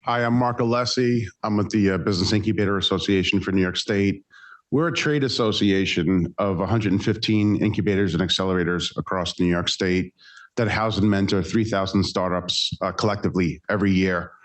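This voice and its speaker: A man's voice: low voice, fairly even intonation, a little fast, rough recording. A man speaking on a panel for a trade association, conversational and loose, with fillers. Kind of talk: panel talk.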